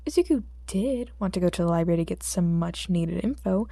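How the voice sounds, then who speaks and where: Female fiction narrator: expressive scripted read, relaxed mellow timbre, very dry close sound. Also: fairly low pitch, fairly quick, creaky, dry recording. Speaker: audiobook narrator.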